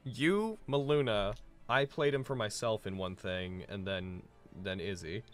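A man narrating a tabletop game as game master, halting and conversational, with dramatic descriptions. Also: fairly low pitch, a bit bright, faint music behind.

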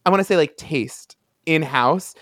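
A man's voice: on the high side, moderate pace, fairly bright tone, dry recording. Man with a close, dry-sounding mid voice. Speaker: podcast host. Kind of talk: casual fan podcast chat.

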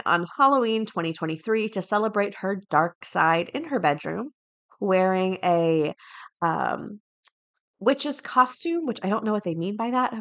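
A woman's voice: medium pitch, a bit bright. A woman hosting a podcast solo, talking casually and chattily, with informal asides and items read aloud. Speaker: podcast host.

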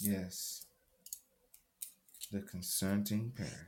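A man's voice: lowish voice, flat delivery, takes it slowly, darkish tone. A man chatting casually, brash and crude, always joking around. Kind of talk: casual chat.

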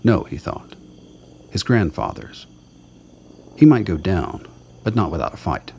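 A man's voice: sits low, big pitch swings, a little slow, creaky, a little mellow, husky. A man narrating a scripted radio drama in a dramatic storytelling style. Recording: background ambience under narration.

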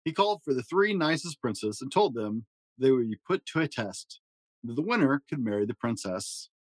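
A man reading a children's fairy tale aloud in a gentle storytelling manner. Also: very animated, normal speed, dry recording, low-quality recording. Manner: gentle storytelling with varied pitch for emphasis. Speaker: storybook reader.

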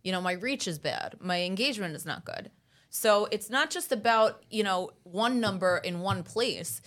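Lively, wry woman telling stories. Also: medium pitch, normal speed. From USA. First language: Italian. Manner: lively storyteller, wry and self-mocking. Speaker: guest. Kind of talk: casual interview chat.

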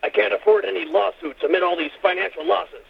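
Radio comedy boss: high-pitched, badly rasping, irate and sarcastic, heard over a telephone. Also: male voice, steady tone, a little fast, heavily acted. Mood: blustering, sardonic. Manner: bullying, sarcastic barking. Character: irate, blustering record-label boss, male, crude. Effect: telephone filter. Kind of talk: comedy radio play acting. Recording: muffled telephone-style sound.

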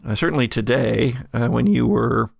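Game master, male, heavy vocal fry, halting improvised narration, very dry close sound. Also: medium pitch, no echo.

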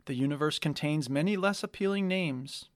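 A man reading a story aloud and voicing a ship's computer in a flat, drab, robotic manner. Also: fairly high pitch, normal speed, no echo, clean studio sound, close to an everyday voice.

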